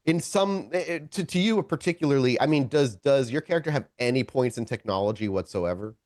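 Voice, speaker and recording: A man's voice: medium pitch, lively intonation, brisk, a little mellow, dry recording, studio quality. A man narrating a tabletop role-playing game as game master, improvising scene descriptions and often correcting himself as he searches for words.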